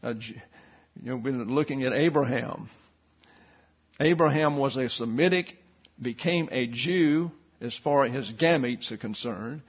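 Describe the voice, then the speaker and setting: A man teaching a Bible study lecture, reading passages and footnotes aloud with asides and slowly spelling out Greek words. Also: medium pitch, slightly rough, dull audio.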